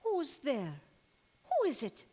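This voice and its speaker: A woman's voice: high voice, huge pitch swings, slow, warm tone, heavily acted. A woman acting in a stage radio play as a loyal, scolding, sorrowful household servant with a folksy, fretful manner. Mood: alarmed, scolding. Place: large reverberant church or hall. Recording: echoey hall.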